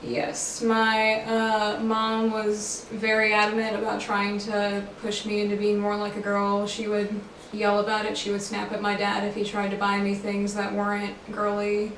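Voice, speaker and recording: Woman, 19, from Florida, hesitant, very flat, distant and echoey. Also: mid-range voice, a little slow, hardly any pauses, a little hiss or hum. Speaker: interviewee.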